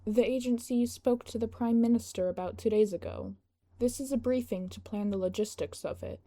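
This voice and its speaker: A woman voicing a matter-of-fact male aide who gently chides his boss in an audio story. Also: fairly high pitch, normal speed. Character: patient, practical male aide to a young boss.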